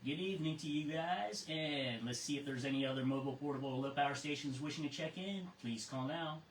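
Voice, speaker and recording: An American man acting as ham radio net control, reading procedures in a measured way with casual asides. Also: fairly high pitch. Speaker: amateur radio net control operator and host. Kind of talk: reading a net script and chatting with check-ins. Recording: roomy, distant mic with faint noise.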